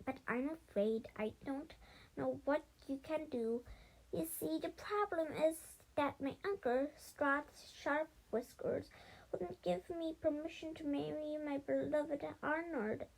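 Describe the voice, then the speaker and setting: A woman's voice: sits high, takes it slowly, gravelly, voice pushed up, full character voice, poor audio. A woman voicing a young female storybook mouse who is lovesick, melodramatic and pleading in a blubbering way. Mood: tearful, sweet.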